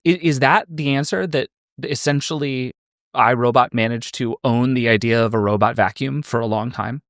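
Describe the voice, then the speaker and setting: A man's voice: mid-range voice, normal speed, fairly bright tone, dry recording, studio quality. A man hosting a podcast, asking curious, rambling questions in a casual chat. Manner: curious, asks long questions with fillers. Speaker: podcast host.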